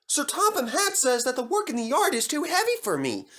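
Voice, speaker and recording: Very high-pitched cheeky little train engine. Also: male voice, wildly swooping pitch, fairly quick, sharp tone, heavily acted. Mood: eager. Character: cheeky little male tank engine, excitable and indignant. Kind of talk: children's storybook character voice.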